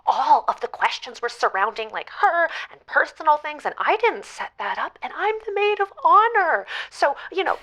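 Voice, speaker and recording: A woman's voice: high-pitched, sing-song, moderate pace, hardly any pauses, bright, forceful tone, full character voice, low-quality recording. A woman playing an anxious young maid of honor who calls in for advice, rambling and sighing in a fluster. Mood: fretting. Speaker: caller. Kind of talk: improvised comedy call-in.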